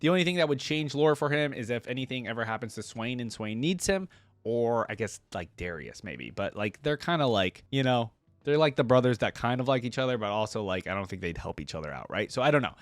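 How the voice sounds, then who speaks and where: A man's voice: mid-range voice, animated, fairly quick, no echo. Casual man rambling in a dry recording. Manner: rambling, thinking aloud, conversational. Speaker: video creator reacting to game lore. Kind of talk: casual reaction commentary to viewers.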